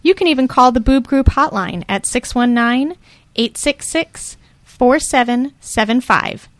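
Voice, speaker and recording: A woman hosting a podcast, reading the show's introduction in a bright, friendly announcer manner. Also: sits high, a little slow, no echo. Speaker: podcast host. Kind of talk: scripted show introduction.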